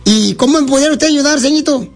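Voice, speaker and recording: Man doing a very high squeaky voice, lonely goofy caller, flirty, rambling, crude. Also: fast talker, soft background music. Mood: teasing. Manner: rambling, teasing, crude jokes. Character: lonely silly male caller, cheeky and flirty. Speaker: radio prank caller.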